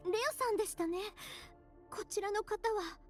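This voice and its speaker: A woman's voice: very high voice, fast talker. An actress playing a refined, composed young noblewoman in a Japanese video game, with elegant, ladylike formality over music. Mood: gracious.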